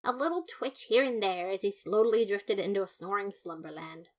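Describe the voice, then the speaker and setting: Woman doing a proud earnest skeleton detective guy narrating his case, theatrical and emphatic, fan audio drama, medium pitch. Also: normal speed, natural voice. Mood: earnest, dramatic. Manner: theatrical, self-satisfied, emphatic.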